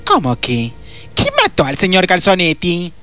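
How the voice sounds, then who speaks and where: A woman's voice: fairly low pitch, wildly swooping pitch, a little slow, a bit bright, soft background music, heavily acted. An Argentine woman playing an eager, curious and exclamatory detective's assistant in a radio drama. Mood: inquisitive. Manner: exclamatory, questioning.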